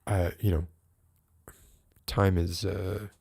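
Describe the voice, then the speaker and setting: A man in his late forties with a very low voice chats on his livestream at an unhurried pace. He muses and rambles wryly and stops to greet viewers in the chat by name. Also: flat delivery, vocal fry, dark tone, breathy edge. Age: 40s. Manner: musing, rambling, wry. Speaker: livestream host.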